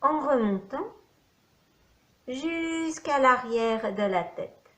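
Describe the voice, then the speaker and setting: A woman leads a guided meditation as a yoga nidra teacher. She speaks extremely slowly, in measured instructional phrases separated by pauses. Her voice sits a little high, and the recording has a clearly echoey room sound. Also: animated, fairly bright tone.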